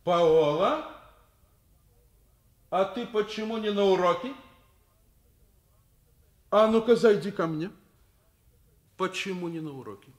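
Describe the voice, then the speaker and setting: An actor playing a kindly, firm middle-aged school director in a Soviet radio drama, fatherly and gently ironic. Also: high-pitched, takes it slowly, pauses often. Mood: stern, teasing.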